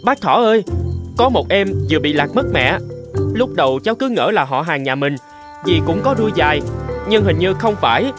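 A man's voice: high-pitched, expressive, moderate pace, music in the background. A man voicing a kind young big-brotherly rabbit in a cartoon, speaking in a friendly, comforting, earnest way. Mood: puzzled.

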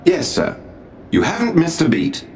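An actor playing a polite, dry-witted AI butler assistant in a video game, formal, precise and deferential in manner. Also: male voice, a little high, huge pitch swings, unhurried, vocal fry, a little hiss or hum, heavily acted. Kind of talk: cinematic video game voice acting. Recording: loud music behind the voice.

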